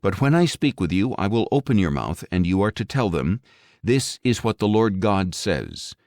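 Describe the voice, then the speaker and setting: A man narrating scripture for an audiobook in a solemn, measured, dramatic way. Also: lowish voice, sing-song, darkish tone, dry recording, clean studio sound.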